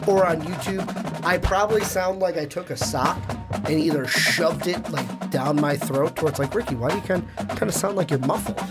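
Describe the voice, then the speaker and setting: A man's voice: a little high, moderate pace, over loud music. A young man hosting a sports podcast, talkative and upbeat, sounding nasal and stuffy from a cold, speaking into a studio microphone. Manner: upbeat, talkative, reads plugs. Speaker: podcast host.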